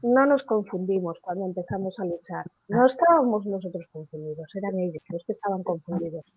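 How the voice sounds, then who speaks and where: A woman's voice: medium pitch, fairly quick, slightly rough, no echo. A woman guest in an interview, speaking in an earnest, reflective way. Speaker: guest activist. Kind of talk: casual conversational interview. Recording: dry, dull lo-fi sound.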